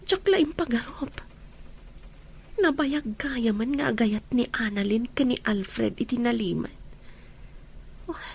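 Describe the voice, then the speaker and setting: A woman's voice: fairly high pitch, creaky, darkish tone, slight background noise. A woman playing an earnest, secretly troubled young friend in an Ilocano audio drama.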